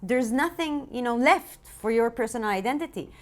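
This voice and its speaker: A woman's voice: a little high, lively intonation, moderate pace. A woman with an Israeli accent explaining ideas as a co-host in casual discussion, using rhetorical questions. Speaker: philosophy expert and co-host.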